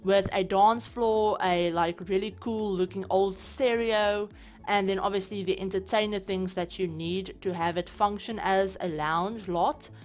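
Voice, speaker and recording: A woman's voice: medium pitch, fairly even intonation, unhurried, darkish tone. A woman with a South African accent, chatting casually over her gameplay as a channel host, rambling with lots of fillers. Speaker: game building channel host.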